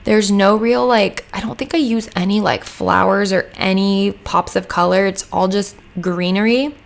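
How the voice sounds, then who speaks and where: A young woman with a hoarse voice, chatting casually as she narrates a home-building game. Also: mid-range voice, steady tone, moderate pace. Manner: rambling, chatty, lots of "like" fillers. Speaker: Sims speed build creator. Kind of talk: casual voice-over chat. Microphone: home mic voice-over.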